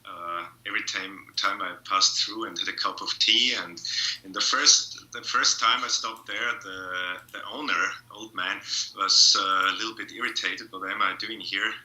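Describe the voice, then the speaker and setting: Austrian-born guy on a video call, lowish voice but bright and forceful, keeps restarting, echoey room. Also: steady tone. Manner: halting, many restarts and fillers. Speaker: artist.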